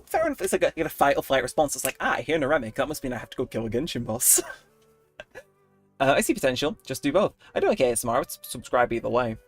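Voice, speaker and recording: A man's voice: medium pitch, expressive, racing, soft background music. A young adult man chatting casually with viewers while streaming a game, rambling and poking fun at himself. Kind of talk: casual livestream chat while gaming.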